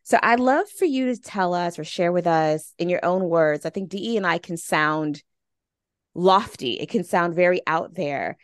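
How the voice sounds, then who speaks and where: A woman's voice: on the low side, moderate pace, dry recording, clean studio sound. A woman speaking conversationally as the host of an interview podcast.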